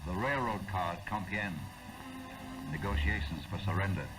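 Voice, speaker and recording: A man's voice: deep voice, normal speed, over loud music, rough recording. An American man narrating a 1940s wartime propaganda film in a solemn, emphatic, declamatory way, on a vintage film soundtrack.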